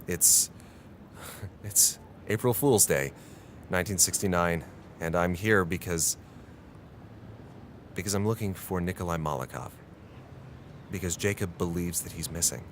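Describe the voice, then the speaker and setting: A dogged American newsman from the late sixties, his voice on the low side. He speaks with halting, earnest intensity in a radio play, with plenty of ambient noise around him. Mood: hesitant, earnest. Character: earnest, dogged American male reporter in 1969. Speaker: investigative reporter. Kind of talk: radio drama acting. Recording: clearly noisy ambience behind the voice.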